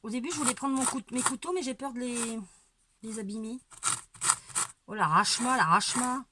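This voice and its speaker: A woman with a stuffy nose from a cold chats her way through a painting demo, her voice sitting a little high. She stumbles and repeats words, tossing in dry little jokes as she goes. The home recording carries steady background noise, with a dog barking now and then. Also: normal speed. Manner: rambling and hesitant, with repeated words and wry asides. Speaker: amateur painter showing her technique. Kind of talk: casual hands-on painting demonstration.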